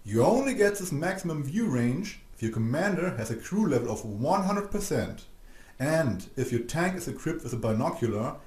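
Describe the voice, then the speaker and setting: A man reading a scripted explanatory narration for an instructional video, measured and didactic, addressing the listener directly. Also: mid-range voice, darkish tone. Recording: roomy, echoing voice-over.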